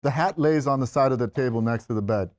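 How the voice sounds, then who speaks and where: A man's voice: mid-range voice, fast talker. A man reading scripted stage directions as narrator at a table read.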